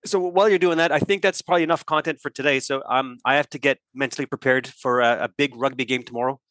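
A man hosts a podcast in a loose, chatty way, filling pauses with "you know". His voice is bright and forward, recorded dry and close. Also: medium pitch, fairly even intonation, dry recording. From Ireland. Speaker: host. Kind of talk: casual podcast chat.

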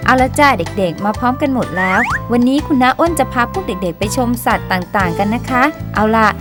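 A woman's voice: fairly high pitch, animated, brisk, music in the background, close to an everyday voice. An actress voicing a friendly woman who leads a group of children in a cartoon. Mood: cheerful. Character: friendly female adult leading children's outing.

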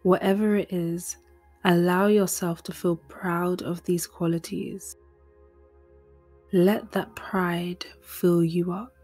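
A woman reading a guided meditation in a soft, breathy, gentle and soothing voice. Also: fairly low pitch, flat delivery, takes it slowly. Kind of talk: guided meditation, scripted narration. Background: music.

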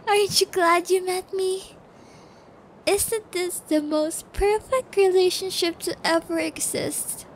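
A woman's voice: very high voice, steady tone, slight background noise. A woman playing a sadistic, possessive psychopath who keeps a captive pet, taunting and gloating in an intimate ASMR audio roleplay. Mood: elated, manic. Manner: taunting, gloating, giggly, drawn-out threats.

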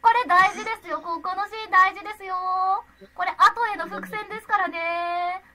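A woman directing a voice drama rehearsal in a casual, excitable, effusive way, often repeating her phrases. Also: extremely high pitch, moderate pace, slight background noise.

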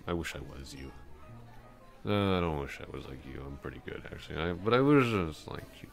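A man playing a drunk, awkwardly smitten office coworker in an intimate ASMR roleplay, rambling, hesitant and trailing off in a slurred, gravelly voice. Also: rumbling low, expressive, fairly quick, soft background music, full character voice. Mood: awkward, bashful.

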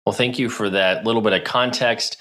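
A man's voice: lowish voice, fairly even intonation, a little fast, bright, forceful tone. A middle-aged man hosting a podcast from his home studio, reading a scripted opening and then asking friendly questions. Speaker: podcast host. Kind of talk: podcast interview. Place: indoors, home studio with neon sign. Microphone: over-ear headset.

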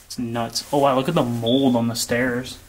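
A young man casually narrating his walk through an abandoned house, chatty and relaxed. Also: medium pitch, moderate pace, slight background noise. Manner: relaxed, chatty, frequent "like" and laughs. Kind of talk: casual walkthrough vlog. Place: inside an empty abandoned house.

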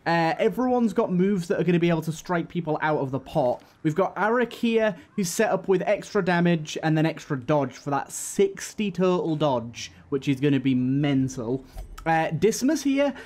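A man's voice: fairly high pitch, animated, moderate pace, darkish tone. A man hosting a gaming channel, giving casual let's play commentary.

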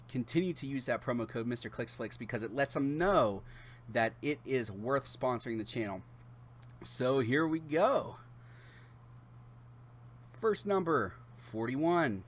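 A man in his thirties hosting a channel, talking casually to camera in a chatty, halting way with drawn-out pauses. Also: mid-range voice, animated. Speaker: YouTube channel host. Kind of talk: casual direct-to-camera giveaway announcement.

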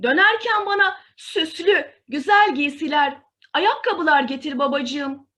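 A woman's voice: high-pitched, measured pace, bright, forceful tone. A woman voicing a vain, greedy young daughter in a storybook, demanding and wheedling. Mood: eager, wheedling.

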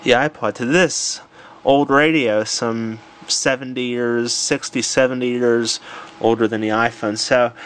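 A man casually demonstrating a home electronics project, unhurried and thinking aloud with fillers, filmed on a handheld camera in a room at home. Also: mid-range voice, steady tone, a bit bright, smooth. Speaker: tutorial presenter. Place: home room with old radio.

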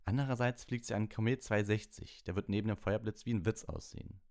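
A man's voice: fairly low pitch, fairly even intonation, quick pace, a little mellow, voice pushed up, lightly acted. A man voicing an earnest, driven teenage boy who captains a team, in an audiobook. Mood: intent. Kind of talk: audiobook character voice.

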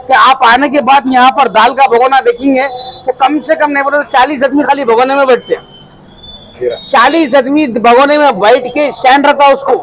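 High-voiced theatrical Hyderabadi man. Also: steady tone, moderate pace, a bit bright, a little hiss or hum, rough recording. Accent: Hyderabadi Hindi-Urdu. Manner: theatrical, comic, exaggerating, stretched-out words. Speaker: restaurant owner promoting his food court. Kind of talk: energetic promotional speech to news cameras. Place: crowded small eatery indoors. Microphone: cluster of handheld news mics.

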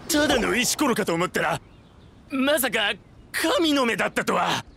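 Sharp, high-pitched sneering thug. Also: male voice, big pitch swings, moderate pace, a little hiss or hum, full character voice. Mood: bitter, threatening. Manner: rough, mocking, threatening. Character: sneering, hot-tempered adult male thug, bullying. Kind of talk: Japanese anime-style game dub acting.

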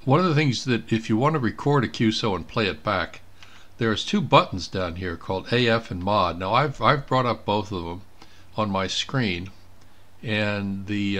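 A man giving an informal step-by-step software demonstration, thinking aloud as he clicks through each step. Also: fairly low pitch. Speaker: presenter of a software tutorial. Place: indoors at a computer.

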